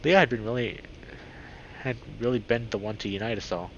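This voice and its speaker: A man reading a visual novel aloud as a streamer, with expressive reactions. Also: on the low side, brisk. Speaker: video game streamer. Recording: dull lo-fi mic with clear background noise.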